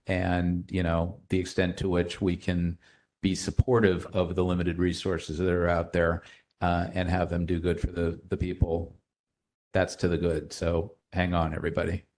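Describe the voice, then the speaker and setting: A man chairing a public committee meeting, speaking in a measured way with hesitant restarts. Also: deep voice, completely flat delivery, darkish tone.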